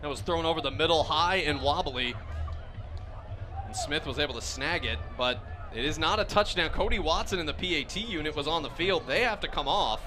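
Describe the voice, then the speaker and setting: A man's voice: fairly high pitch, animated, brisk. An American man doing live play-by-play commentary of a football game as a radio announcer. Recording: loud outdoor stadium noise behind voice.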